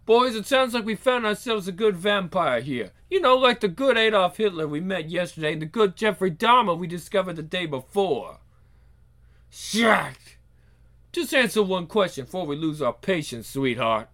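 A man's voice: high voice, lively intonation, moderate pace. A man playing a crude, blustering mafia don with a sneering, menacing manner. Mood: sneering sarcasm. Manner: sneering, swearing, forceful. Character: brash male mob boss, crude, menacing, blustering.

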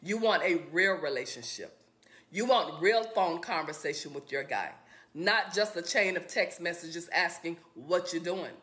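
A woman's voice: lowish voice, lively intonation, unhurried, fairly bright tone. A woman earnestly giving relationship advice in a social media clip.

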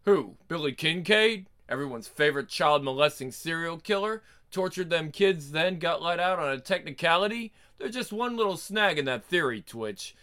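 A man's voice: a little high, expressive, moderate pace, hardly any pauses, bright, forceful tone. A man voicing a blustering, crude, wisecracking police detective in a dramatic comic book reading. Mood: sardonic, heated. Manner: sardonic, wisecracking.

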